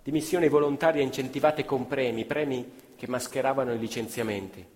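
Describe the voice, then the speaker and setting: A man's voice: mid-range voice, steady tone, a little fast, a little mellow. A man narrating a stage play in a dramatic way, building tension as the story goes on. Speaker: theatre actor and narrator. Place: theatre stage. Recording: live stage show with long reverb and music.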